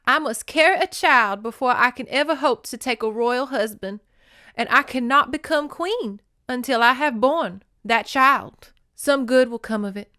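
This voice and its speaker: A woman's voice: high-pitched, measured pace, bright, forceful tone, no room sound, pitched above the performer's own voice. Earnest young princess acted by a woman, nervous but resolute, formal declamatory speech, clean dry sound, romance storybook reading. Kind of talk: dramatic romance novel reading.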